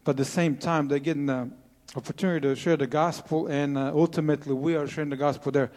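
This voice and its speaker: A man's voice: a little high, steady tone, quick pace, darkish tone, in a large hall. A man in his forties from Croatia, speaking English with a Croatian accent, earnestly sharing his testimony to a church congregation with many hesitant fillers. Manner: earnest, plain-spoken with frequent uh fillers. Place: church sanctuary.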